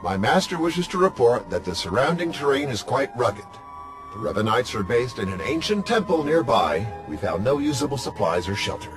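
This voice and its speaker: An actor playing a dutiful subordinate giving a scouting report in a video game, formal and deferential. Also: male voice, mid-range voice, sing-song, moderate pace, over music, natural voice, poor audio.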